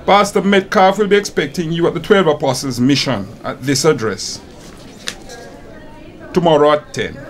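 Radio drama boss: male actor as commanding Jamaican manager, fairly high voice, brisk and official. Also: natural voice.

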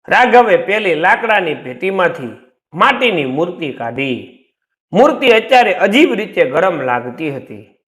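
Man, gujarati accent, high animated voice, dramatic horror storytelling. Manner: dramatic, expressive storytelling with rising and falling pitch. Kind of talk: scripted horror story narration.

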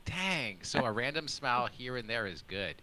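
A man's voice: mid-range voice, animated, normal speed, sharp tone. A man hosting a casual podcast over a video call, chatting and reading out a listener's email with laughing, self-deprecating asides. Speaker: podcast host.

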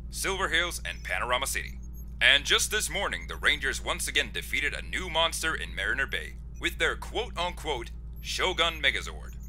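A man's voice: mid-range voice, pushed and bright. A man playing an enthusiastic, patriotic TV news reporter with polished, emphatic delivery in an audio drama.

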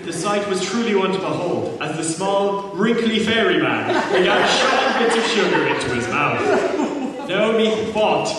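A man's voice: high-pitched, expressive, moderate pace, background noise. Echoey, distant man giving comic reading. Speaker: panel performer reading fan fiction. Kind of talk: comic dramatic reading with ad-libbed asides. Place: large conference hall, panel table before audience. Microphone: far camera. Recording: distant camera mic in echoey hall with audience.

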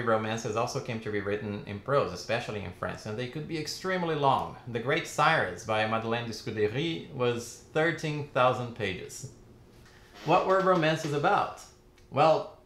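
A man in his forties, a native Portuguese speaker, giving a lively video lecture on literature from his home study. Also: mid-range voice, echoey room, slight background noise. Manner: lively, expressive, gestures with hands. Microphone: camera framing, chest-up.

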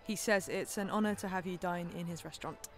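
An actress playing a polite, apologetic restaurant server in a naturalistic audio drama. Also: female voice, on the low side, fast talker, music in the background, lightly acted.

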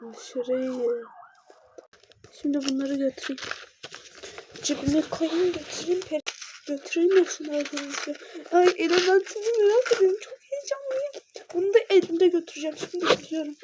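Young female gamer, high voice, chatty running commentary with excitable spikes. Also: normal speed, background noise. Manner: chatty, narrates each step aloud, excitable bursts. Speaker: player narrating her game. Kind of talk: casual gameplay roleplay narration.

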